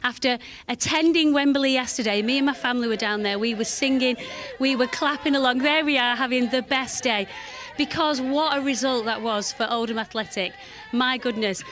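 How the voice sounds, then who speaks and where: A woman news reporter with a croaky, hoarse voice, chatty as she reports live and interviews a guest. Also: high voice, moderate pace. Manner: chatty, warm live delivery.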